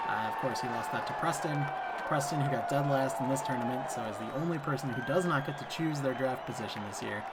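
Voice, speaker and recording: A man's voice: medium pitch, very noisy. A man hosting a video game tournament stream, casually recapping the seeds in a rambling, filler-heavy way. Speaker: tournament host.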